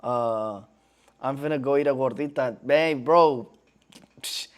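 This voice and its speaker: A man's voice: mid-range voice, slow pace. A man in his twenties chatting casually on a live stream in slangy talk, often calling viewers "bro". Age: 20s.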